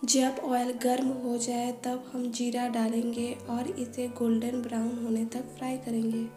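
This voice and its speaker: A woman calmly narrating a home cooking recipe step by step, listing each ingredient in a methodical way. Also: fairly high pitch, unhurried. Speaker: cooking channel host. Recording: echoey room voice-over with faint music.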